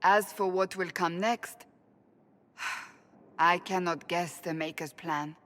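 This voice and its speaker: An actress playing a devout warrior in a game, grave, principled and thoughtful. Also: female voice, fairly low pitch, steady tone, slow pace, a bit bright, long reverb tail. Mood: reflective, earnest. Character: earnest, devout female warrior, principled and brooding. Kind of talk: dramatic game acting.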